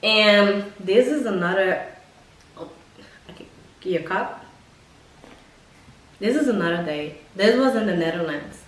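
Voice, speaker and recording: A young woman casually telling personal stories to camera in a blunt, expressive, slangy way with plenty of swearing. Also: medium pitch, unhurried, pauses often. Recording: echoey room, mic at a distance.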